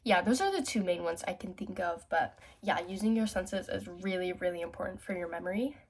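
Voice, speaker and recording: A woman's voice: fairly low pitch, brisk, husky. A teenage girl with a stuffy, congested voice from a cold, chatting upbeat study tips at home for her vlog. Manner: chatty, self-talking, upbeat. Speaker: student vlogger.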